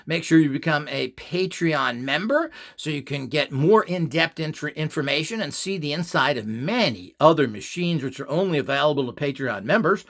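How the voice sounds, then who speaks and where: A man's voice: fairly high pitch, animated, moderate pace, few pauses, fairly bright tone. A man giving an opinionated monologue as a channel host, emphatic and scornful, often throwing out rhetorical questions. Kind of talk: opinionated monologue to camera or listeners.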